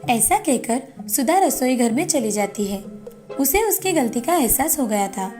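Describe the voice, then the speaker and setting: High-voiced woman storyteller. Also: sing-song, measured pace, a little mellow, faint music behind. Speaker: narrator. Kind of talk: scripted children's moral story narration.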